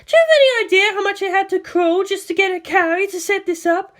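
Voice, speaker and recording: A woman voicing an excitable, image-conscious teenage big sister with a shrill, strained manner. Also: quick pace. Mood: screeching, furious.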